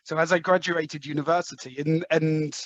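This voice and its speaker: A man's voice: on the high side, steady tone, normal speed, fairly bright tone, dull audio. A man from London with a London English accent, a podcast guest talking through his career with many ums and restarts. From London, England. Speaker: podcast guest, head of sales. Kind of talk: conversational interview answers.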